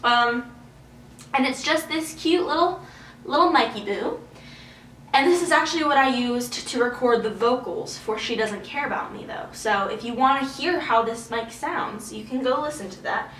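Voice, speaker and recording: A woman's voice: sits high, moderate pace, fairly bright tone, slight background noise. Candid woman in an echoey room. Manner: emotional, candid, swears freely. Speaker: vlogger. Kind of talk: candid casual talk to camera. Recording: roomy echo, heard from a distance.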